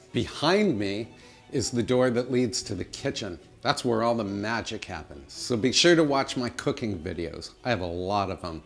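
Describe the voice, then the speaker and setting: A middle-aged man chatting casually to the camera as he gives a tour of his large old house. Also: lowish voice. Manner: chatty, friendly tour-guide delivery. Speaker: home vlogger giving a house tour. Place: large old house with high-ceilinged rooms, indoors.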